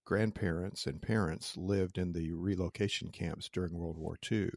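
A man's voice: low-pitched, steady tone, moderate pace, vocal fry, dry recording, clean studio sound. A man co-hosting a podcast, telling stories in a reflective way with small restarts. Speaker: podcast co-host and interviewer. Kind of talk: casual conversation.

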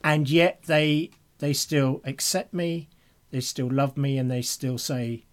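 A man's voice: mid-range voice, steady tone, slow pace. A man co-hosting a reflective conversation about faith, speaking earnestly and repeating phrases for emphasis. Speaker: podcast co-host.

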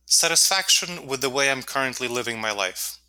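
A man's voice: mid-range voice, animated, a little fast, crowd noise. A man whose first language is Russian, speaking as an author and podcast guest, explaining his ideas earnestly with frequent fillers. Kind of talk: podcast interview answers.

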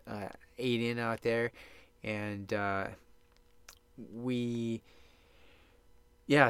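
A man's voice: medium pitch, fairly bright tone, smooth, dry recording. A man with a congested, stuffy nose hosts a podcast alone, talking in a casual, upbeat, rambling way in a small sound booth. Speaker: podcast host. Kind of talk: casual solo podcast monologue.

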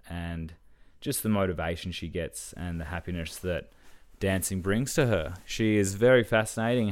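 A man hosting a podcast, talking in a relaxed, rambling way with fillers. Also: low voice. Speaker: podcast host.

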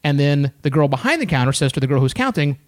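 A man's voice: on the high side, sing-song, fast talker. A man on a podcast, telling a story in casual chat and acting out the other people's voices as he goes. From United States.

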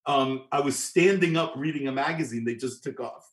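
A man's voice: medium pitch, fairly quick. A middle-aged man from New York, a guest musician telling long anecdotes in an interview, with frequent restarts and repeated words. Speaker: guest, orchestral clarinetist. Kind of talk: storytelling interview.

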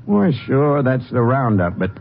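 A folksy county sheriff. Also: male voice, mid-range voice, big pitch swings, measured pace, vocal fry. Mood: defensive. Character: easygoing middle-aged male county sheriff. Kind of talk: 1950s radio drama acting. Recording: tinny lo-fi old radio broadcast with hiss.

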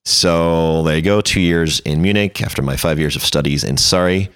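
A man's voice: a bass voice, normal speed, fairly bright tone, smooth, no room sound, studio quality. A man, chatting as an interview guest in a wordy, self-deprecating, anecdotal way. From France. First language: French. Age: 30s. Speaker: interview guest, creative director. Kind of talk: casual conversational interview.

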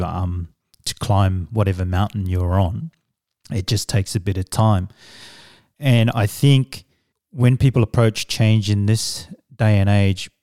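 Low, mellow Samoan-born man. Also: no room sound, studio quality. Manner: measured, reflective, with fillers and restarts. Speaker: guest, business coach. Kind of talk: conversational interview answers.

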